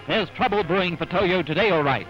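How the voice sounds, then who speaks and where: A man's voice: high-pitched, brisk, lo-fi sound. A man narrating a 1940s wartime newsreel in a dramatic, punchy, rousing way, on a vintage soundtrack. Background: music.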